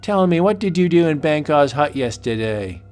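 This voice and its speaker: Guy doing a blustery old-school colonial colonel, loud n dismissive, pitched up, comic book horror drama. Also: sing-song, normal speed, soft background music. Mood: astonished.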